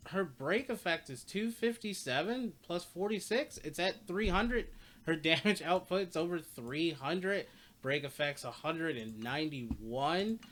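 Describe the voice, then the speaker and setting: Rambling higher-pitched man, noisy recording. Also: animated, a little slow, fairly bright tone. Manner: rambling, repeats and corrects himself. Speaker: gaming guide host. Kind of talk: casual game guide commentary.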